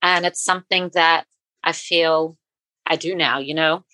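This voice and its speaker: A middle-aged woman co-hosting a podcast, speaking in an earnest, enthusiastic way. Her voice sits a little low but has a bright, forceful edge. It is recorded dry and close, with no room sound. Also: fairly even intonation, a little slow, dry recording. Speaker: podcast co-host. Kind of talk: casual conversation.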